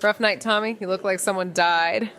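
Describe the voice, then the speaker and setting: A woman's voice: mid-range voice, steady tone, moderate pace, fairly bright tone, close to an everyday voice. A young woman playing a brash, defiant amateur detective in an audio drama, blunt and sarcastic. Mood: hostile, mocking.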